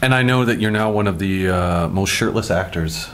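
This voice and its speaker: Lowish-voiced joking male co-host. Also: normal speed, a bit bright, slight background noise. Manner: chatty, joking, hesitant. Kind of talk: casual interview chat.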